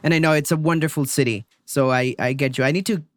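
Upbeat man hosting, higher voice. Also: steady tone, quick pace, fairly bright tone, no echo, clean studio sound. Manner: upbeat and enthusiastic. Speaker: podcast host and English teacher. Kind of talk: hosting an interview.

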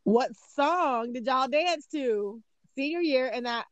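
A woman's voice: high-pitched, lively intonation, takes it slowly, dry recording, highs cut off. A woman co-hosting a casual podcast, asking quiz questions in a teasing, giggly way. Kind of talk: casual chat.

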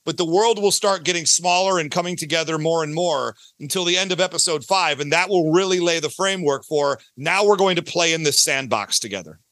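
A man with a high voice speaks as a guest in a casual interview. His tone is bright and forward, and he talks at length, rephrasing as he goes. The sound is dry. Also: fairly quick, hardly any pauses, no room sound. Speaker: guest, audio drama creator.

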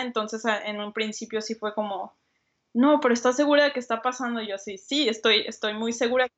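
A woman's voice: medium pitch, a bit bright, dull audio. A young Mexican woman, a designer, answering interview questions casually with many fillers and restarts. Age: young adult. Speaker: designer, guest.

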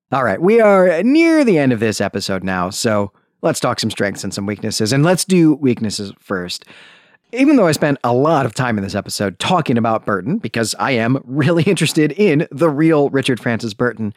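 Animated man hosting a book podcast. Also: mid-range voice, dry recording, clean studio sound. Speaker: podcast host. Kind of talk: book discussion podcast commentary.

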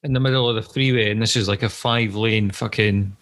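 A man's voice: on the low side, fairly even intonation, brisk, fairly bright tone. A man co-hosting a podcast, telling a story in casual chat, swearing freely between hesitant fillers. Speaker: podcast co-host.

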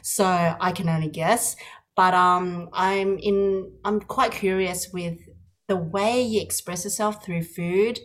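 A woman hosting a podcast, her voice sitting a little low. She asks long, winding questions in a warm chat, with many restarts and fillers. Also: fairly even intonation, slow. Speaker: podcast host and counsellor. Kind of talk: casual interview.

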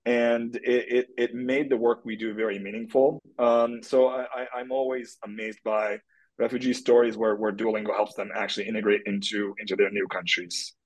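A man's voice: fairly low pitch, level tone, moderate pace, low-quality recording. Hesitant man from Turkey. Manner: hesitant, many fillers and restarts. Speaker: guest, tech product executive. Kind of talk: conversational interview answers.

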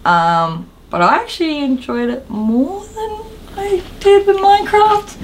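A woman's voice: very high voice, expressive, unhurried, a little hiss or hum. A woman co-hosting a podcast, chatting casually about video games in an informal, hesitant way with trailing thoughts. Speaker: podcast co-host.

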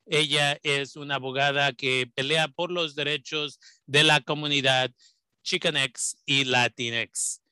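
A man, a professor, giving a measured, formal introduction of a guest speaker. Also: a little high, fairly even intonation, pushed and bright, smooth, dry recording.

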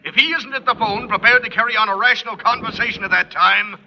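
An actor playing a stern, suspicious middle-aged school official, speaking with emphatic authority in an old radio comedy. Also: male voice, high-pitched, quick pace, pushed and bright, highs cut off, exaggerated voice. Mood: stern, incredulous. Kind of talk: 1940s-50s radio comedy acting. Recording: very noisy old radio recording.

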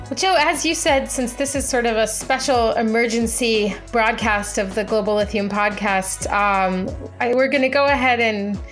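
A woman's voice: on the high side, moderate pace, hardly any pauses, a bit bright, faint music behind. A woman co-hosting a podcast in a casual, playful and upbeat way. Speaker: podcast co-host.

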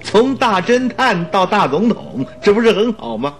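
An actor playing an eager, talkative doctor and detective's sidekick in a radio drama, exclaiming theatrically. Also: male voice, sits high, expressive, moderate pace, a bit bright, faint music behind. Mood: excited, jovial. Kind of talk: Mandarin radio drama acting.

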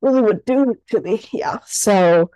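Sick stuffy young woman on a podcast, mellow tone, dry room, says like a lot. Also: mid-range voice, moderate pace, no room sound, low-quality recording. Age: young adult. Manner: chatty, lots of "like" fillers. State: sick, congested. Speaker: podcast co-host. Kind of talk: casual chat.

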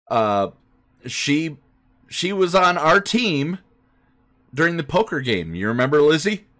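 Man chatting casually, with halting pauses. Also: mid-range voice, a bit bright. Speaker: podcast co-host. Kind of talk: casual podcast chat.

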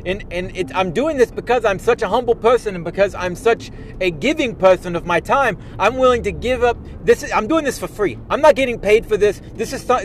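A man's voice: high-pitched, slight background noise, lightly acted, poor audio. A man playing a pompous, self-important tabletop gaming expert who lectures in a rambling way, stumbling over restarts and repeating himself. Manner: boastful and lecturing. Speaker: self-styled podcast expert. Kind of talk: parody monologue.

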